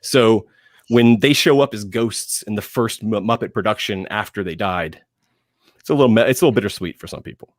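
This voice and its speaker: A man speaking as a panelist in enthusiastic film talk, emphatic, with dramatic pauses and phrases repeated for effect. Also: lowish voice, no room sound, clean studio sound.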